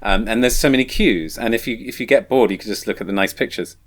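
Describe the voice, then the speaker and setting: A man's voice: fairly low pitch, fast. A man hosting a podcast who chats casually and eagerly, often agreeing with his guest. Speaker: podcast host. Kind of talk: casual conversation.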